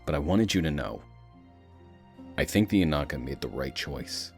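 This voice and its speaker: A man playing an earnest, steady hero in an animated fan dub, speaking in a measured, sincere and encouraging way. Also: very deep voice, vocal fry, faint music behind, heavily acted. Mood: earnest, reassuring. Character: deep-voiced male fire hero, earnest and steady teammate.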